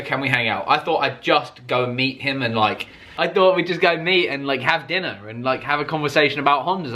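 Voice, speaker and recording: A British man with a bright, forward voice tells a personal story to his viewers. He talks casually and enthusiastically, rambling along with slangy, sweary asides, like a car vlogger chatting to regular followers. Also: medium pitch.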